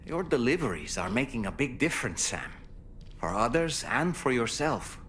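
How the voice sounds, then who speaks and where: A man's voice: medium pitch, big pitch swings, moderate pace, a bit bright, a little hiss or hum. A man acting as a kindly, thoughtful counsellor in a video game, reassuring and articulate. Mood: consoling.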